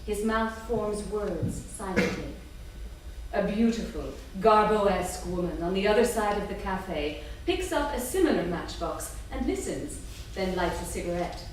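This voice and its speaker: A woman's voice: medium pitch, expressive, a little slow. A woman reading scripted stage directions at a staged play reading, recorded in a theatre. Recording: echoey room from far off, faint noise.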